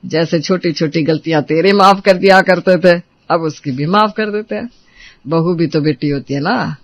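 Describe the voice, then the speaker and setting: A man playing an affectionate, reassuring middle-aged father in a Hindi family drama, speaking gently to his family. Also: a little high, normal speed, dry recording, lightly acted.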